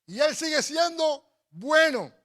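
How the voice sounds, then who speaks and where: High-pitched man preaching. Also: animated, takes it slowly, a bit bright. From Cuba. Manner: emphatic, exhorting, with repeated phrases. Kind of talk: sermon with personal storytelling.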